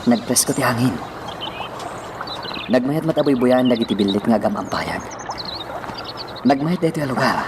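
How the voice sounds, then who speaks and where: A man's voice: medium pitch, lively intonation, normal speed, long pauses, noisy background, lightly acted. A man acting an earnest young village suitor in a radio drama, with an Ilocano Filipino accent. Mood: gentle. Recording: very loud background music.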